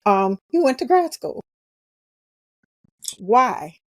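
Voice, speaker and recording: A woman's voice: on the high side, very animated, drawn-out words, no echo, studio quality. A woman hosting a podcast, asking rambling casual questions. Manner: chatty, many "you know" fillers. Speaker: podcast host.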